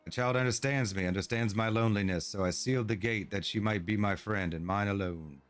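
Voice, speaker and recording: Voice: deep voice, a little fast, natural voice. An actor voicing a lonely fae creature that sows nightmares. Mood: lonely, possessive. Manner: wistful, possessive. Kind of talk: game cutscene voice acting.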